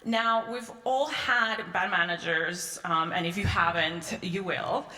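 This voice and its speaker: Woman from Peru, middle-aged, conference keynote, bright forceful tone, low-ish voice, roomy hall echo. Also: slow, faint music behind. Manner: conversational, frequent "um" and "right?" tag questions. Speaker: conference speaker. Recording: echoey hall, long reverb.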